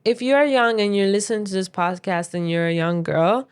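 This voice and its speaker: A woman's voice: mid-range voice, moderate pace, clear, resonant tone. A woman co-hosting a podcast in casual chatty talk, opinionated and emphatic as she gives advice. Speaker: podcast co-host.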